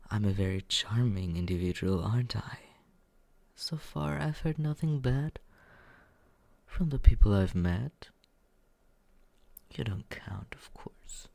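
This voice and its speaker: A man playing a smug, condescending but secretly caring young roommate in a teasing ASMR roleplay, with breathy, husky whispering and murmuring. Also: fairly low pitch, animated, darkish tone, no echo, heavily acted. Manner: teasing, condescending, slow drawl.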